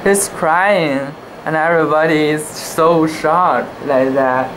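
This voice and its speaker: A young man with a high, androgynous pitch reads a storybook dramatically. He goes slowly and expressively, swinging up and down, often cooing. It is recorded outdoors on a camera with steady noise behind him. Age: young adult. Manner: expressive, cooing, drawn-out words. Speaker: storybook reader. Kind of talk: dramatic children's book reading with asides. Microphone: camera at close range.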